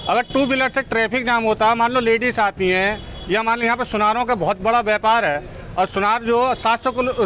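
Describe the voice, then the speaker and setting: A man's voice: very high-pitched, pushed and bright, a little hiss or hum. A middle-aged man, a shopkeeper, speaking indignantly into a handheld news mic on an outdoor market street and asking pointed questions. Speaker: shopkeeper at a protest. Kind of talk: street interview. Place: outdoor market street, with a crowd around him.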